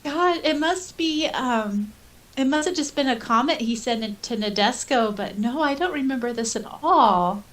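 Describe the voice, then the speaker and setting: A woman's voice: fairly high pitch, animated, normal speed, fairly bright tone. A woman co-hosting a casual fan podcast, chatting and reading listener comments aloud. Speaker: podcast co-host.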